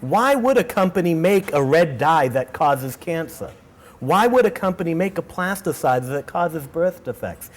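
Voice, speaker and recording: A middle-aged man with a Boston accent, giving a keynote talk to students in an animated way, often repeating words and restarting sentences. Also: high voice. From Boston, United States. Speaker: keynote speaker. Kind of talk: energetic lecture to students. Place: auditorium.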